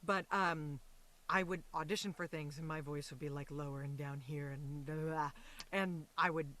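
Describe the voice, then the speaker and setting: A woman's voice: low voice, steady tone, moderate pace, fairly bright tone. Casual, chatty woman in an interview. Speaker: voice actor, interview guest.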